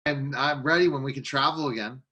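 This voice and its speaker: A man's voice: medium pitch, fairly even intonation, fairly quick, bright, forceful tone, muffled recording. A man hosting a casual interview chat over a video call. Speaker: interviewer, show host.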